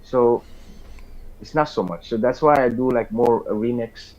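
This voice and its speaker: A man's voice: medium pitch, moderate pace, highs cut off. A man from Suriname with a Surinamese accent and Hindi as his first language, a guest singer chatting in a casual interview and often repeating words as he talks.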